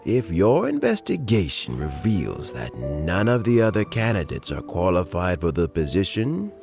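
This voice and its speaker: An actor playing a frail elderly statesman in a video game, courteous and wry, with formal, measured phrasing. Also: low-pitched, huge pitch swings, over music, exaggerated voice. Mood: wry, considering. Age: older. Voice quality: creaky, aged voice. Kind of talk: scripted video game dialogue.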